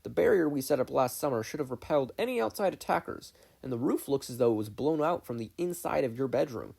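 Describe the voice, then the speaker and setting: A man voicing a brisk, analytical older great-uncle in a story reading. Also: mid-range voice, lively intonation, creaky, a little mellow, breathy edge, voice pushed up. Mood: urgent, brisk.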